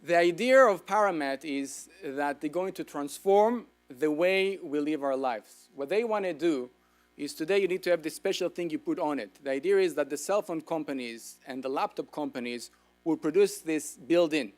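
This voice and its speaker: A man's voice: on the high side, normal speed, faint music behind. A man with an Israeli accent, lecturing an audience with enthusiasm and asking them questions. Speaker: speaker.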